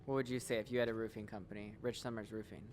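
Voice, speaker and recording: A man's voice: on the low side, little pitch movement, rapid-fire, a little mellow, a little hiss or hum. A man hosting a business podcast, asking conversational questions and often correcting himself midway. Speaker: podcast host, roofing business owner.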